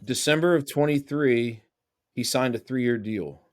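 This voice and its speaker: A man's voice: medium pitch, measured pace, dry recording. Man joking in podcast chat. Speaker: podcast co-host. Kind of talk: casual chat.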